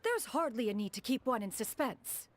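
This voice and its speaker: A woman's voice: on the high side, sing-song, moderate pace. A woman voicing a proud, formal crane spirit and inventor who calls herself "one" and speaks in stiff, old-fashioned phrasing, in a video game. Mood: haughty formal. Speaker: master. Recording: game audio with music behind.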